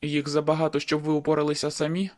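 Woman voicing a bold, low-pitched young male werewolf. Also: completely flat delivery, fast, darkish tone, lightly acted. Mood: firm, suspicious. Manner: low, firm, persuasive tone.

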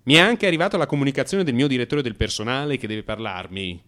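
Male actor as sleepless, anxious office worker in therapy. Radio drama monologue, agitated, very animated intonation. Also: mid-range voice, close to an everyday voice. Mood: anguished, resentful. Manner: agitated, halting, self-justifying.

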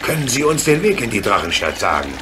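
Practical train driver, good-natured, plain-spoken, animated intonation, radio play, noisy recording. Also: male voice, on the low side, quick pace. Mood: calm, curious.

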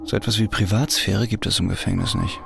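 An actor voicing a prisoner's quiet, wry inner thoughts in a radio drama. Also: male voice, fairly low pitch, fairly even intonation, brisk, vocal fry, over music. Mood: reflective.

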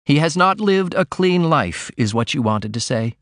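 A man doing a calm, gentle mother. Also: a little high, normal speed, no room sound, clean studio sound, voice pushed up. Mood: gentle guiding. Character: calm, sympathetic middle-aged mother. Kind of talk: audiobook character reading.

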